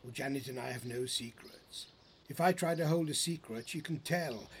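A man's voice: medium pitch, moderate pace, a little hiss or hum, lightly acted. An actor playing a blunt, joking older husband and father in an audio play, with a bumbling, hesitant delivery. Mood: jovial, teasing.

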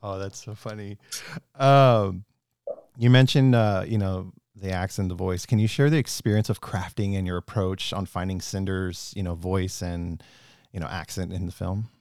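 A man hosting a podcast interview, asking questions enthusiastically with many halting fillers. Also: low-pitched, steady tone, darkish tone, clear, resonant tone, no echo, clean studio sound.

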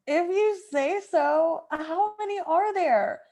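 A woman's voice: very high voice, slow, mellow. A woman playing a dry, sardonic young college student who babysits, in a comedic radio play. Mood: dry, skeptical.

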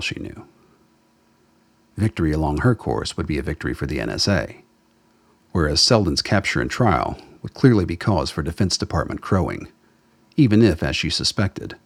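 A man's voice: very deep voice, gravelly, husky, dry recording. A man reading scripted dramatic narration as the narrator of a radio play.

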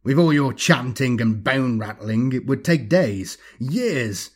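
A man's voice: medium pitch, expressive, a little slow, slightly rough. A man voicing a gleeful, melodramatic inhuman machine villain in a taunting, theatrical manner for a sci-fi audiobook.

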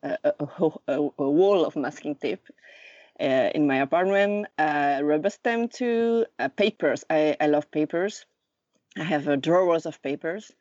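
A woman in her thirties speaks with a Swiss French accent in a low voice. She is a podcast guest who stops often to search for words, with many fillers. The remote recording sounds dull and very dry. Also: measured pace, darkish tone, no echo. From Lausanne, Switzerland. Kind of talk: casual conversation.

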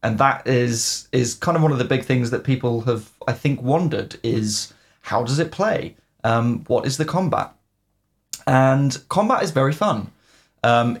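A man co-hosting a casual podcast chat, often pausing on "um" as he talks. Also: mid-range voice. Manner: hesitant, filling pauses with "um". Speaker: podcast co-host. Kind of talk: casual chat about video games.

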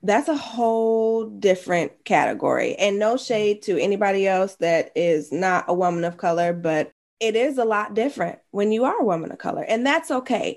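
A woman's voice: medium pitch, darkish tone. A woman hosting a podcast interview, affirming and effusive, laughing often with her guest. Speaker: podcast host. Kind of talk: casual interview chat. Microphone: podcast mic.

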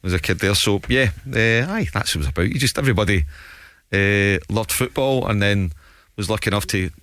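A man's voice: on the low side, expressive, brisk, a bit bright, no echo, clean studio sound. A man, a football journalist and former player, talking hesitantly on radio with many fillers. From Scotland. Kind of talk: casual radio chat.

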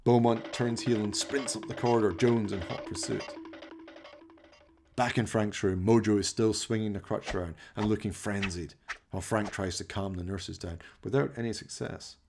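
A man with a gravelly voice narrating a dramatic scripted radio play. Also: fairly low pitch, steady tone, moderate pace, music in the background.

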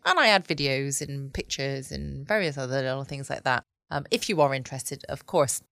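A woman's voice: rumbling low, expressive, normal speed, vocal fry, fairly bright tone, no echo, studio quality. A woman with a southern English accent chatting casually and cosily as the host of a bedtime podcast. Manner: chatty, cosy, informal. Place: at home, indoors.

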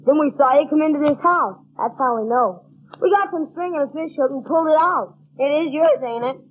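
A woman's voice: sits high, a little fast, close to an everyday voice. A woman playing a cheeky, slangy city kid who pesters adults in a vintage radio drama. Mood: eager, pestering. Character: cheeky, streetwise city kid, eager for a reward. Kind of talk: 1940s radio drama acting. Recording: tinny, hissy old radio recording.

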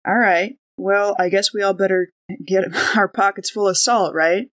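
Casting: female tabletop gamer, medium pitch, casual conversational play. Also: steady tone, moderate pace, fairly bright tone. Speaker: tabletop role-playing game player. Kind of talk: casual in-game chat.

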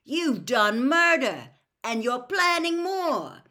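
Woman doing a loud, gruff, defiant man. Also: sits high, big pitch swings, slow pace, voice pushed up, exaggerated voice. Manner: rough, blunt, indignant, forceful. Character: gruff, defiant working man standing his ground. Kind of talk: audiobook character acting.

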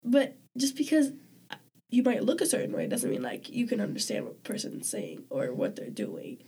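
A woman's voice: on the high side, wildly swooping pitch, moderate pace, warm tone, breathy edge. A woman talking as a podcast guest, in a casual, hesitant way with many fillers and restarts. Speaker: guest community member. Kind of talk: casual conversation.

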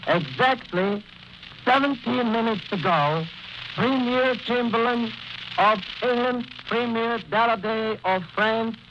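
High-voiced man on crackly old radio. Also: takes it slowly. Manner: formal, declarative. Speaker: foreign news correspondent. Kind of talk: 1930s on-the-spot radio news report. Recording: crackly, muffled 1930s shortwave radio recording.

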